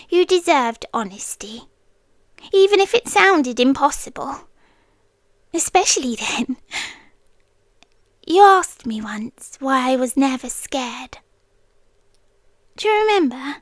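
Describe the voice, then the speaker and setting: A British woman playing a tender, possessive, inhuman childhood friend in an intimate ASMR roleplay, speaking softly and often slipping into whispers. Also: sits high, dry recording, heavily acted. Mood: confiding, fond.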